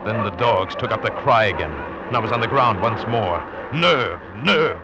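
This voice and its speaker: An actor playing a hunted, desperate but determined American adventurer in a tense 1940s radio drama. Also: male voice, fairly low pitch, animated, moderate pace, over loud music, natural voice. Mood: breathless dread, then frantic, desperate. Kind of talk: 1940s radio acting, first-person dramatic narration. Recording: muffled, hissy 1940s radio recording.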